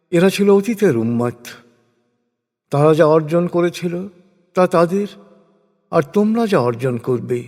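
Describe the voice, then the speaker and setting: A man giving a solemn, measured reading of a translated scripture, phrase by deliberate phrase. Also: a little high, a little mellow. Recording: long cavernous reverb.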